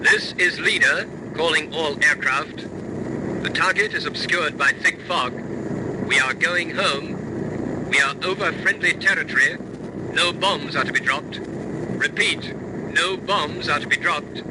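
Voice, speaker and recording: An actor playing a decisive bomber captain in a wartime radio drama, giving clipped, commanding orders over droning engines. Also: male voice, a little high, unhurried, natural voice. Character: decisive bomber captain, adult man, firm under pressure. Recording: loud aircraft engine noise.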